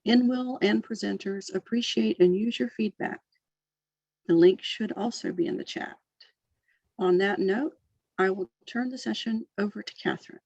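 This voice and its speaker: A woman reads out scripted session announcements in a formal, measured way, at a middle pitch. The audio is dull and lo-fi, like a video call. It sounds dry and close, with no room around her. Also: dry recording. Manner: formal, even reading. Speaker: session convener.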